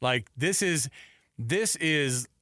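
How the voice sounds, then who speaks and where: Man, American accent, sports radio host, mid-pitched voice, casual slangy banter, animated, close dry studio mic. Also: very slow, no echo. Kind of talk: live sports talk radio banter and ad reads. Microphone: close studio microphone.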